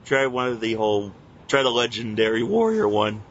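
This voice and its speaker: A man's voice: medium pitch, big pitch swings, moderate pace. A young man in casual group banter as a podcast co-host. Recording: dull lo-fi voice chat, faint noise.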